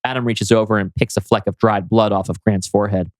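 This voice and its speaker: A man's voice: fairly low pitch, fairly even intonation, quick pace, no room sound. A man hosting a podcast, reading out the action of a screenplay as scripted narration. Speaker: podcast host and script reader.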